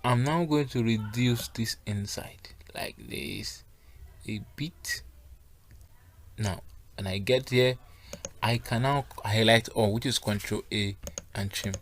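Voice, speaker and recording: A man's voice: on the low side, slow, long pauses. Male design tutor walking through steps. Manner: instructional, repeatedly checking that learners follow. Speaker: graphic design instructor. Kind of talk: step-by-step software tutorial.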